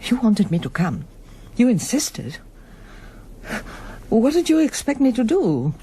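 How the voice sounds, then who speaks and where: An actress playing an anguished middle-aged émigré pianist in a radio drama, intense and brooding. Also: female voice, fairly high pitch, very animated, takes it slowly, dark tone, slightly rough, full character voice. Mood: bitter, hurt. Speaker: lead actress and narrator. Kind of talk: radio drama acting and first-person narration.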